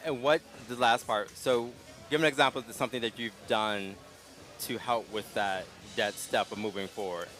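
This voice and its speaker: A man hosting a casual live interview at an outdoor street festival, speaking in a calm, gentle, unhurried way. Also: fairly low pitch, faint music behind. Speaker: interview host.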